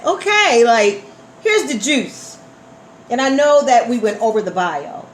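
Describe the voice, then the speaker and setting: Female interview host: lively, varied intonation, gushing and supportive, chatting live in an echoey room. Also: on the high side, unhurried. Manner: effusive, encouraging. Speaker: radio show host. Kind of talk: live radio interview chat. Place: indoor room at a live online broadcast. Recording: roomy live sound, faint noise.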